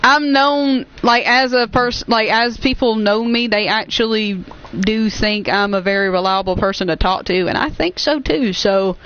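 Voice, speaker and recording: A woman's voice: fairly high pitch, normal speed, fairly bright tone, smooth, dull audio. A nineteen-year-old woman chatting casually as a gaming streamer, rambling along in an earnest, reassuring way. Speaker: gaming YouTuber hosting a stream. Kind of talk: casual chat over gameplay.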